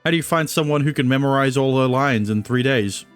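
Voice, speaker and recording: A man's voice: medium pitch, brisk, soft background music. A man streaming a game, improvising casual, joking replies to the dialogue with a stammering delivery.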